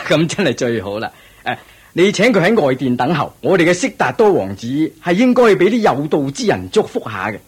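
A man playing a proud, hearty king in a radio drama, exclamatory and expressive. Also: a little high, brisk, slightly rough, full character voice. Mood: pleased, commanding. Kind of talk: Cantonese radio drama acting.

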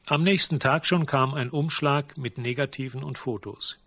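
A man's voice: medium pitch, moderate pace, very noisy. A man narrating a radio drama in a sober, literary storytelling manner.

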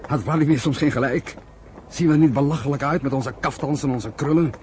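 An actor playing an eager, idealistic young son in a radio play, questioning and challenging. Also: male voice, medium pitch, darkish tone, a little hiss or hum, close to an everyday voice. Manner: quick, challenging.

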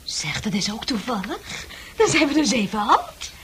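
Nosy, eager chatterbox in a radio play: high female voice, animated, coquettish, prying. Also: moderate pace. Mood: eager, coaxing. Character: chatty, nosy, eager, girlish woman newcomer. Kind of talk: Dutch radio play acting. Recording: noisy old radio drama recording.

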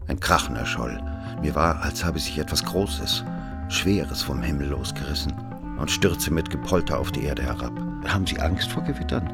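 Very deep, dissolute storyteller. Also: male voice, normal speed, vocal fry. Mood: dramatic, wry. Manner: dramatic, literary storytelling. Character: educated, dissolute male narrator recalling wild revels. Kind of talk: first-person narration in German radio drama. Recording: loud guitar music behind the voice.

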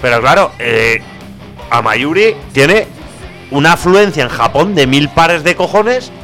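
A man's voice: on the high side, expressive, unhurried, pushed and bright. A man with a Spanish accent from Spain co-hosting a radio show, commenting casually in a blunt, colloquial way. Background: guitar music.

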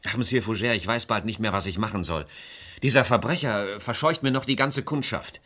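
An actor playing a worried middle-aged estate agent and estranged husband in a radio crime play, talking in a confiding, hesitant way. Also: male voice, on the low side, a little fast, pushed and bright. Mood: troubled.

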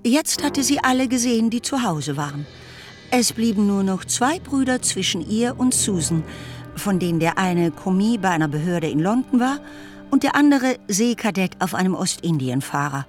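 A female narrator reads literary prose for a radio drama. Her pitch is medium, and music plays clearly behind her. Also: big pitch swings, normal speed. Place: studio.

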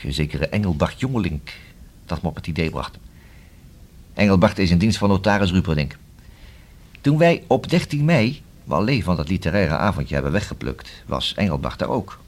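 A police inspector in a radio drama. His voice is very low and somewhat raspy, with animated rises and falls. He is courteous yet blunt, laying out his case deliberately. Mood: explaining, intent. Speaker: actor in a radio play. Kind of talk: Dutch radio drama dialogue.

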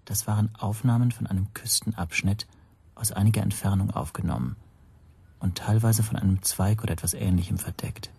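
An actor playing the reflective first-person narrator of a thriller radio play, an accused amateur photographer recalling events in a measured, suspenseful way. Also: male voice, lowish voice, steady tone, creaky, warm tone, breathy edge, exaggerated voice. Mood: tense recollection.

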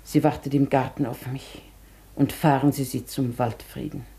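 A woman playing a dignified, wistful hotel guest in a German radio drama, with a soft, often breathy voice and a formal, measured manner. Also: extremely low pitch, fairly even intonation. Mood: resolute, calm. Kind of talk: German radio play acting.

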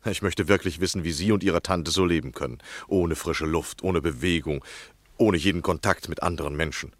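A man's voice: low-pitched, normal speed, no room sound. An actor playing a polite, persuasive and probing male journalist in a formal, gallant manner, in the style of a 1960 German radio play. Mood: coaxing, reassuring.

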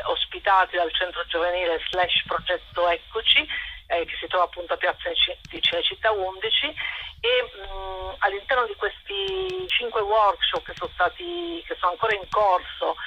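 A woman's voice: medium pitch, breathy edge. A woman explaining informally as a radio interview guest, hesitant and leaning on filler words. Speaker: interview guest, arts project organiser. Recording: dull phone line on radio.

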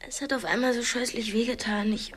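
A woman's voice: on the high side, steady tone, fairly quick, a bit bright, a little hiss or hum, lightly acted. An actress in a radio drama playing a proud, cultured young woman held captive, articulate, candid and critical. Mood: pleading, strained.

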